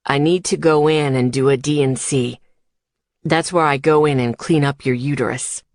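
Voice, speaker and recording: A woman voicing a soothing but firm male hospital doctor in an audiobook, speaking in a measured, formal way. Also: very deep voice, steady tone, darkish tone, no echo, clean studio sound, pitched below the performer's own voice. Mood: grave, sympathetic. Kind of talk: audiobook character dialogue.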